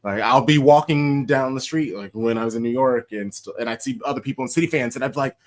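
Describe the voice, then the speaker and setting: A young American man hosting a casual podcast, an animated storyteller who laughs often and shouts out quoted chants. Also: medium pitch, quick pace. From United States. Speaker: podcast host.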